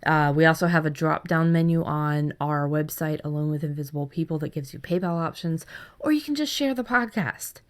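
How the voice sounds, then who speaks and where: A woman's voice: sits low, brisk. A woman in her thirties co-hosting a podcast in casual chat, effusive and emphatic, often repeating for stress. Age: 30s. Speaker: podcast co-host.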